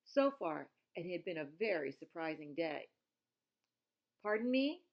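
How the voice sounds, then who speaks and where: A woman with a lowish, creaky voice reads a children's novel aloud. Her delivery is expressive storytelling, with a plain, teacherly way of explaining. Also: moderate pace, muffled recording. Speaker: reader of a children's novel who pauses to explain words. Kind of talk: expressive read-aloud storytelling with informal asides.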